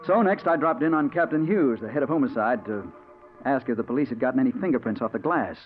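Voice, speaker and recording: A man's voice: mid-range voice, animated, fast talker, faint music behind, natural voice. Actor as dogged tough newspaperman, old muffled radio. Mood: grim narrating. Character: tough, dogged newspaperman hero of a crime thriller. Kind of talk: 1940s radio acting, first-person narration and dialogue. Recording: muffled, noisy 1940s radio recording.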